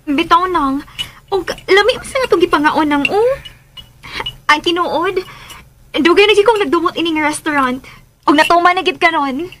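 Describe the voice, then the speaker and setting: Casting: helpful young Cebuana in radio drama, high girlish pitch, faint noise behind. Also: lively intonation, normal speed, fairly bright tone. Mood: matter-of-fact. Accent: Filipino, Cebuano. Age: young adult. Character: young provincial woman, helpful former girlfriend. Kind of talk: Philippine radio drama acting.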